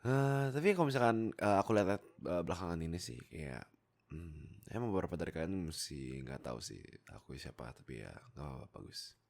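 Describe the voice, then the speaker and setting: A young man with a low, gravelly voice rambles casually into a close microphone. His delivery is playful and hesitant, swinging widely up and down as he breaks off into jokey asides. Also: big pitch swings, fairly quick, darkish tone. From Indonesia. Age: young adult. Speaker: YouTube content creator and voice actor. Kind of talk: casual rambling chat to viewers. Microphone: close desktop microphone.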